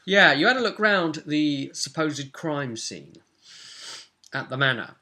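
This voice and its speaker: Bright-voiced young British man. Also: on the high side, animated, measured pace. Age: young adult. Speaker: game master, co-host. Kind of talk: casual recap of a tabletop role-playing game. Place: small cluttered room at home.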